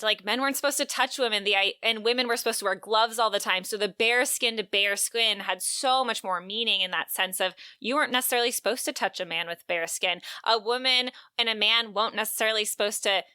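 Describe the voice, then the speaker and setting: A woman's voice: on the high side, a little fast, pushed and bright, clean tone, no room sound, studio quality. A woman co-hosting a casual book discussion podcast, explaining things in an earnest, emphatic way. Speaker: podcast co-host.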